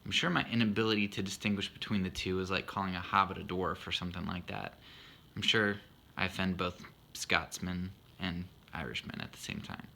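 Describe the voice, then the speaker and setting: A man in his thirties talks through a poem he wrote, earnest and reflective with a self-deprecating streak. His voice sits a little low with a gravelly fry, yet carries a bright, forward edge. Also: fairly even intonation, a little fast. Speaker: husband explaining his poem. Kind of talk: reflective spoken monologue.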